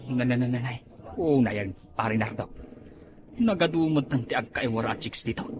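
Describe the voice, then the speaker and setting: A man playing a lazy, girl-crazy village husband in an Ilocano comedy drama, with a husky voice and an Ilocano accent. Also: mid-range voice, normal speed, faint music behind, lightly acted. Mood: excited, leering. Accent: Ilocano, northern Philippines.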